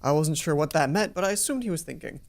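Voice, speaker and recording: A let's play host reading a visual novel aloud in an expressive storytelling way, with asides. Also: mid-range voice, quick pace.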